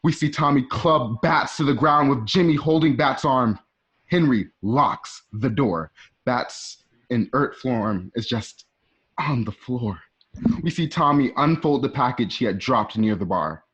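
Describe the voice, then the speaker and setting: A man reads screenplay directions as narrator of a radio play. His voice is medium in pitch. The sound is a bit dull and lo-fi. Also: a little slow, a bit bright. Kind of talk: reading screenplay stage directions aloud. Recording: dull lo-fi home recording.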